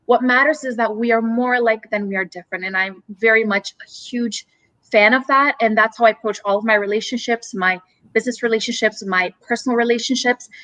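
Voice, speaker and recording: A woman with a somewhat high voice talks earnestly as a live-stream guest, walking through her ideas and stacking points into long lists. The sound is close and dry, with little room around her. She was born in Romania. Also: fairly quick, sharp tone, no echo, rough recording. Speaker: guest, communications company CEO.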